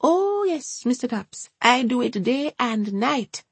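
A woman's voice: fairly high pitch, big pitch swings, takes it slowly, husky, no room sound, voice pushed up, heavily acted. A woman voicing a nervous, devout elderly grandmother with an eager, sing-song way of talking. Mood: anxious.

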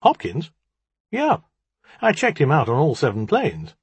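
A proud, sarcastic old spirit in the shape of a rat, played by a male storyteller. The voice is very husky, with big swings in pitch, brisk and huffy in a sardonic way. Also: wildly swooping pitch, no echo, heavily acted. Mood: offhand.

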